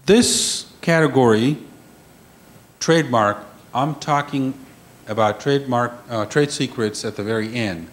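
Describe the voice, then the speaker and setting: A man's voice: mid-range voice, unhurried. Man lecturing emphatically at a conference. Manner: emphatic, repeats key phrases, checks in with "okay?". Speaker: conference panel speaker. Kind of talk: lecture on intellectual property. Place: conference room.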